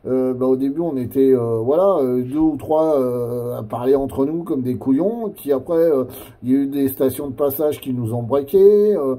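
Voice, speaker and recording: A man chatting casually as an amateur radio operator, in a hesitant, halting way that often repeats phrases. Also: medium pitch, warm tone.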